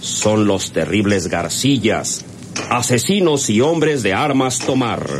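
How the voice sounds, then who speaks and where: A man's voice: on the low side, animated, slow pace, a little hiss or hum. A man narrating a radio serial in a dramatic scripted style. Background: music.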